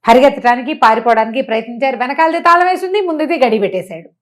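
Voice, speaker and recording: A woman tells a moral story aloud. She is conversational and animated, slipping rhetorical questions and bits of acted dialogue into the telling. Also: high voice, rapid-fire. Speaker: storyteller and motivational speaker.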